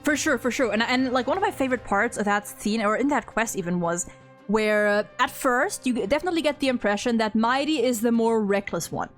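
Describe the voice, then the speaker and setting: High-voiced young woman chatting over music. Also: expressive, a little fast. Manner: enthusiastic, informal, peppered with "like". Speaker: video game streamer and discussion host. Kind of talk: casual chat, fan commentary on a game story. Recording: music playing behind the voice.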